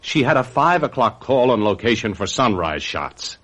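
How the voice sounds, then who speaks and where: An actor playing a guilty, scheming husband in a radio mystery, brooding and theatrical. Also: male voice, mid-range voice, sing-song, unhurried, a bit bright. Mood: irritated, curt. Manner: theatrical, brooding, self-justifying. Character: guilty, scheming husband who murdered his wife. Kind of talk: old-time radio mystery drama acting.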